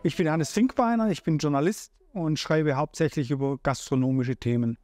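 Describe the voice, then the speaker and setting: A man with a somewhat raised pitch talks in halting phrases and keeps searching for the right word. He is a food journalist giving an interview for a documentary. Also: background noise.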